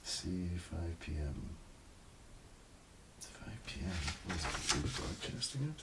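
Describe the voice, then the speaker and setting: A soft-spoken man doing casual ASMR, muttering as if to himself and slipping into whispers, in a roleplay style. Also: very animated, takes it slowly. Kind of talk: ASMR, casual muttering while browsing TV listings. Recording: clear background noise, page rustling and clicks. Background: page flipping and highlighting sounds.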